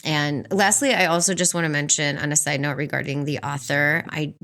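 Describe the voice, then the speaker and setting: Woman in her 40s with a low voice, chatting about books on a podcast, expressive and giggly. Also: fairly quick. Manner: expressive, laughs often. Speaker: book podcast co-host. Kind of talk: book review chat, partly prepared notes.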